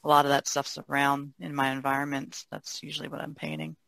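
A woman's voice: a bass voice, monotone, fast, gravelly, fairly bright tone, no room sound. A woman from the Chesapeake Bay region chatting casually and easily as a painter. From Chesapeake Bay region, United States. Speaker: painter being interviewed. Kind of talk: casual chat.

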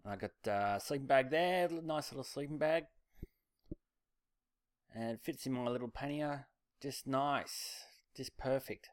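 A man's voice: medium pitch, a little slow, pauses often, no echo, clean studio sound. A man with an Australian accent, casually talking a camera through his motorcycle and a planned road trip, rambling with plenty of ums and uhs. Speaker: motorcycle vlogger. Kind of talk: casual vlog narration.

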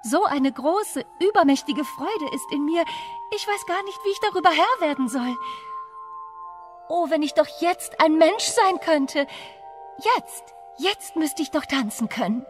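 Young fir tree princess, fairy tale audio drama, high voice, slow delivery, gushing wonder. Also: female voice, expressive, faint music behind. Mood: overjoyed, longing. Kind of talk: fairy tale radio play acting.

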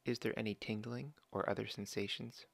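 Male meditation guide, low gravelly voice, dry close mic, slow calm instructions. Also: no echo. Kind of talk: guided body scan meditation.

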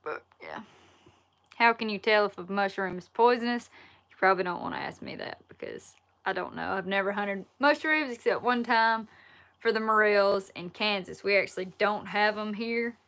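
A woman in her twenties with a Southern Alabama accent, chatting casually on a livestream and answering viewer questions in a relaxed, folksy way from a wood-paneled room. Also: mid-range voice, normal speed. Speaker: outdoors YouTuber answering live chat. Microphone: laptop or phone camera at arm's length.

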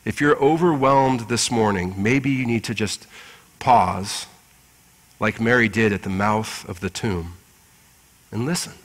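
A man in his forties preaching a sermon as pastor, measured and earnest, with deliberate pauses, in a church sanctuary. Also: lowish voice, a bit bright. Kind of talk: Easter Sunday sermon with scripture reading. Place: large church sanctuary with congregation. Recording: reverberant church hall through PA.

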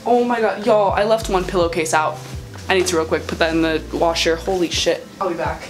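A woman's voice: on the low side, steady tone, brisk, over music. A woman in her early twenties chatting frankly to the camera in a casual vlog from her bedroom, swearing now and then. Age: early 20s. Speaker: vlogger. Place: bedroom at home, indoors. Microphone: camera on a stand, she moves around the room.

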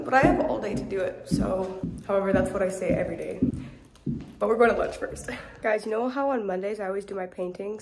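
A young woman recording herself on a phone at arm's length, her voice thick and congested from a cold. She talks in a chatty, self-deprecating way, often laughing at herself between remarks. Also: mid-range voice. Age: young adult. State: sick, congested voice. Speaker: student vlogger. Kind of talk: casual vlog talk to camera. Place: dorm room and parked car. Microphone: phone camera at arm's length.

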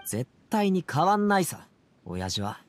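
An actor playing a spirited, stubborn young man in a Japanese game, with rough, casual youthful speech. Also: fairly high pitch, big pitch swings, unhurried. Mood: frustrated. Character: spirited young man, frustrated and stubborn about his father.

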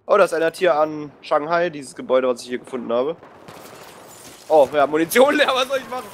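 A young man gives casual, slangy gameplay commentary in a fairly high, animated voice. He drops in English exclamations as he chats with a friend. Faint game gunfire and ambience sit behind him. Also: brisk, a little hiss or hum. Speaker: gaming video commentator. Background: shooter game gunfire and ambience.

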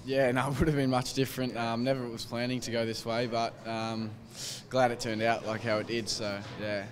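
A man's voice: medium pitch, little pitch movement, moderate pace. A young Australian man, a footballer in a post-match interview, talking hesitantly with many fillers and restarts into a handheld mic. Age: young adult. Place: team rooms by a sponsor wall, crowd noise behind. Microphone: handheld microphone held by interviewer. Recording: crowd noise behind.

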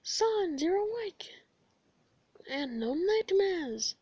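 A childlike, devoted skeleton brother, played by a woman, proclaims everything loudly in a very high voice. He is exuberant and leans hard on his words, on a dull, lo-fi recording. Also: very animated, no echo, heavily acted. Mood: cheerful, innocent. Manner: loud, declamatory, drawn-out emphasis.

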